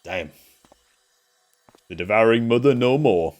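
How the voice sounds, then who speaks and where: A man giving casual, excitable game reaction commentary, slangy with light swearing. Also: fairly low pitch, measured pace. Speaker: gaming reaction host. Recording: game music behind the voice. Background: game soundtrack music.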